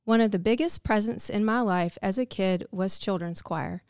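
A woman's voice: mid-range voice, normal speed, darkish tone, clear, resonant tone, no room sound, clean studio sound. A woman giving a scripted, reflective devotional narration. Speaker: devotional speaker.

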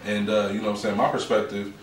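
A man's voice: on the low side, flat delivery, fast, a little hiss or hum. A man hosting a podcast, talking through his opinions in a rambling way and often asking "you know what I'm saying". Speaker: podcast host. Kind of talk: casual opinionated chat. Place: podcast room. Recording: roomy room from a distance.

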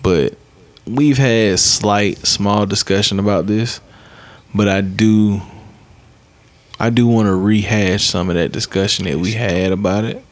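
A man in his late twenties hosts a casual podcast, rolling through the talk with a loose, joking manner and plenty of swearing. His voice sits fairly low, and he keeps his delivery easygoing. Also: steady tone, unhurried. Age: late 20s. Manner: laid-back, profane, joking. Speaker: podcast host. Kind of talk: casual chat.

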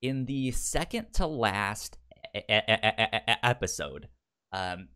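A man co-hosting a podcast in casual chat, halting and animated, with a frequent stutter on sounds and words. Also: lowish voice, clear, resonant tone. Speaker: podcast co-host.

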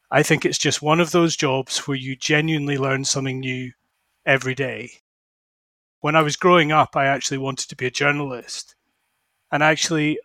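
Scottish man, fortyish, slightly high voice, dry close sound, measured. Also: fairly bright tone, no room sound. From Scotland. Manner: reflective, measured storytelling. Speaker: fund manager guest. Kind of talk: podcast interview.

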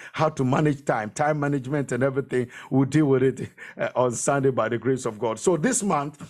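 A man preaching a sermon as a preacher, emphatic and exhortatory, repeating phrases to drive them home. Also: medium pitch.

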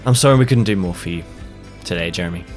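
A man voicing an apologetic, consoling helper with gentle, hesitant reassurance in an improvised audio drama. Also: low-pitched, quick pace, over music, pitched below the performer's own voice, close to an everyday voice.

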